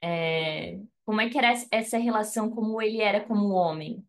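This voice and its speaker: A woman interviewer hosting the conversation and asking gentle, probing questions. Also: medium pitch, fairly quick. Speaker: interviewer, host.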